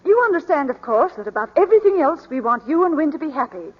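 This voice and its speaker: An actress playing a middle-aged upper-class mother, gracious but condescending, with polite measured speech in a 1940s radio drama. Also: high voice, big pitch swings. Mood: placating. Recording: muffled 1940s radio broadcast, reverberant hall.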